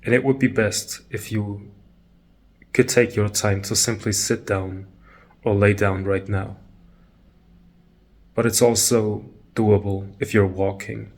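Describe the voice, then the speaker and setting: A man leading a guided meditation talk, calm and measured, pausing between short phrases. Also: lowish voice.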